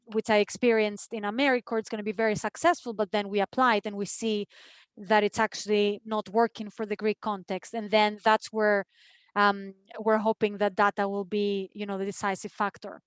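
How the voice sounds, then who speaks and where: Smooth-voiced woman on a dry video call. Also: medium pitch, no room sound. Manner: thoughtful, repeats words while framing a point. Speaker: nonprofit co-founder. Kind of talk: webinar conversation.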